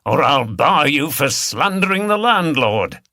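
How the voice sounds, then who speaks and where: A man's voice: a little high, big pitch swings, unhurried, sharp tone, no echo, studio quality, voice pushed up, exaggerated voice. A man voicing an easygoing, plain-spoken innkeeper who banters with staff and regulars in an audiobook. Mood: bantering. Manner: bantering, matter-of-fact.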